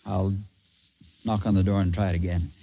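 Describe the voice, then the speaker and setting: An actor playing a proud, commanding, exasperated rocket captain from Earth in an old-time radio drama. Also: male voice, low-pitched, level tone, moderate pace, vocal fry. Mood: exasperated, bossy. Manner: commanding, emphatic. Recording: muffled, noisy old radio recording.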